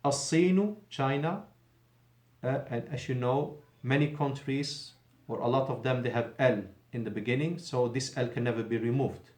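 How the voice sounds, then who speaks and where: A man's voice: mid-range voice, moderate pace, darkish tone, echoey room. A male Arabic teacher giving a patient classroom lesson in a mosque, explaining in English and repeating Arabic phrases.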